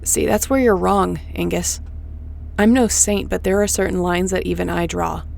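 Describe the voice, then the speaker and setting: A woman's voice: on the low side, fairly even intonation, moderate pace, gravelly, a little mellow. A woman playing a tough, defiant starship captain in a sci-fi audio drama, speaking bluntly and with command. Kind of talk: sci-fi audio roleplay acting. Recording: faint background noise.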